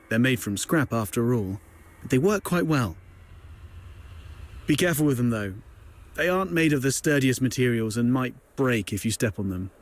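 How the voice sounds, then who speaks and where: A man's voice: medium pitch, close to an everyday voice. A man voicing an easygoing tinkerer and mentor in a video game, talking in a casual, caring way.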